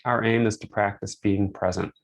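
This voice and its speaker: A man with a fairly low voice and a clearly gravelly, creaky edge leads a guided meditation. He gives soothing instructions in gentle, short phrases. Pauses separate them, so nothing sounds hurried. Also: fairly even intonation.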